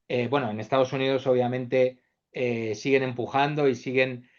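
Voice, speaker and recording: A man's voice: mid-range voice, fairly even intonation, normal speed, muffled recording. A man hosting a webinar interview, summarizing and asking questions hesitantly with many fillers. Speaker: host interviewer.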